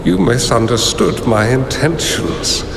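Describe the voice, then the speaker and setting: Slow, gravelly wise old hermit. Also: male voice, medium pitch, expressive, background noise. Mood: gentle, detached. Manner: unhurried, kindly, deliberate. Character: wise, gentle, aloof older hermit master. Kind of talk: video game voice acting. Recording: echoey hall reverb, music behind.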